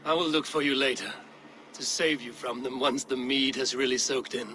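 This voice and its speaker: An actor playing a steady, commanding male Viking clan leader in a game cutscene. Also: mid-range voice, normal speed, background noise, lightly acted. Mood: resolute. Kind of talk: video game cutscene acting.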